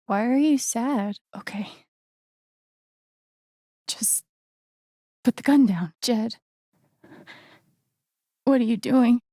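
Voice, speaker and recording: A woman's voice: a little high, drawn-out words, long pauses, dark tone, no echo, studio quality. A woman voicing an earnest young woman in a video game, heartfelt and hesitant, with raw emotion in a naturalistic dramatic style. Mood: wary, alarmed.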